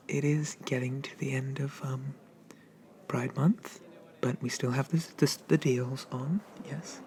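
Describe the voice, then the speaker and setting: A performer playing a friendly, bashful monster barista in a soft, hesitant, gently chatty whispered ASMR roleplay. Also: mid-range voice, a little hiss or hum. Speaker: coffee shop barista.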